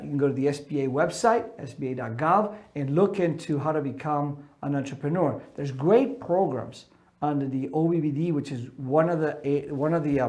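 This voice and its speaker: Middle-aged guy from ecuador coaching vets, bit of a higher voice, earnest and punchy. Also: darkish tone. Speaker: military transition coach. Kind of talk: seminar talk.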